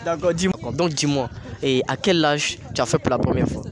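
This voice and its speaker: A man stops people on a busy street and fires short, teasing questions at them. His voice sits slightly high. Traffic noise runs clearly behind him. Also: brisk. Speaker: street interviewer. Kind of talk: vox pop street interview.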